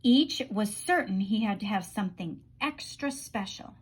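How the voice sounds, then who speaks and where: A woman reading a children's storybook aloud in an expressive storytelling style, letting phrase endings drop softly. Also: mid-range voice, moderate pace, slightly rough.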